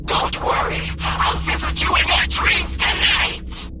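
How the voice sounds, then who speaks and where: Slow, sinister fiery pumpkin animatronic. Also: female voice, extremely high pitch, heavy reverb, heavy background noise. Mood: menacing, taunting. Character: menacing fiery female jack-o'-lantern animatronic monster, taunting and sinister. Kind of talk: scripted horror video game villain lines.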